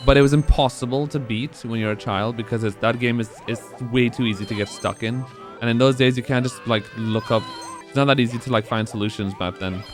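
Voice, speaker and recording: A man's voice: medium pitch, fairly even intonation, brisk, music in the background. A man in his twenties speedrunning on a live stream, rambling casually and answering chat between moves.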